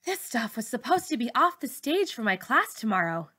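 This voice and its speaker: A woman's voice: a little high, very animated, moderate pace, pushed and bright, pitched above the performer's own voice, exaggerated voice. A woman reading a children's novel, voicing a proud, strict perfectionist teacher, a woman with a firm, earnest, defensive manner. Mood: frustrated. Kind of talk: children's novel read-aloud dialogue.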